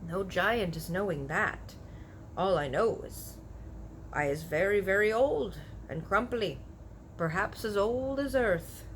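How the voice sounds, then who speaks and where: A woman voicing a very old, blustery but earnest male giant with mangled grammar and drawn-out, emphatic words. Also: mid-range voice, a little hiss or hum, natural voice, rough recording.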